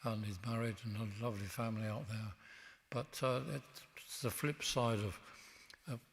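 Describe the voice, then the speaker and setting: A man speaking as an interview guest in a church, reflective and halting, with frequent restarts. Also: fairly low pitch, fairly even intonation. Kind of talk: reflective conversation. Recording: long church reverb.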